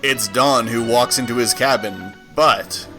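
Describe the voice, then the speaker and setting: A man's voice: mid-range voice, measured pace, music in the background. A man co-hosting a podcast, switching playfully between joking banter and mock-dramatic narration.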